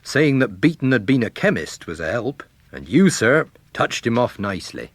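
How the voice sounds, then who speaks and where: A man's voice: medium pitch, big pitch swings, normal speed, dry recording. A man voicing a plain-spoken, watchful police sergeant, deferential yet firm, in a detective story reading. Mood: relieved, approving.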